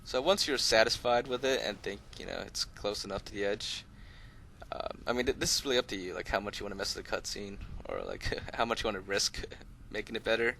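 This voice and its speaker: A man with a fairly low but bright, forward voice hosts a gameplay tutorial. He rambles along conversationally, explaining things in an unscripted, easygoing way, with light noise behind him. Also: brisk, creaky. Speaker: host of a gaming video channel.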